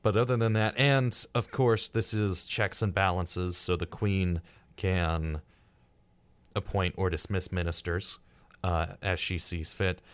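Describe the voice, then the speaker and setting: A man's voice: low-pitched, fairly even intonation, measured pace, smooth, no room sound. A man co-hosting a casual podcast discussion, reading out facts in a dry, dismissive way. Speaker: podcast co-host.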